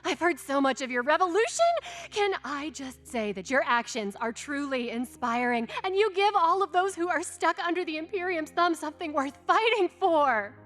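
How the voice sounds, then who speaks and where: An actress playing an obsessive, lustful mad inventor villainess in a video game, gushing and theatrical with a seductive, manic manner. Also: female voice, high voice, animated, moderate pace, hardly any pauses, a bit bright, faint music behind. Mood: admiring, gushing.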